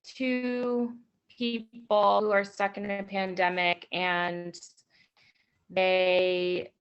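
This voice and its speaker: Woman, very slow hesitant talk, slightly low pitch, casual, dull video-call audio. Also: flat delivery, smooth. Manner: hesitant, rambling delivery. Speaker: background actress and writer. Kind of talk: casual self-introduction.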